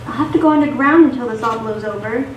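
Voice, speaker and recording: A woman's voice: fairly high pitch, quick pace, dull audio, lightly acted. A woman acting an anxious, trusting corporate whistleblower in a live radio play. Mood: earnest, relieved. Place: theatre stage. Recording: echoey stage, distant mic, background noise.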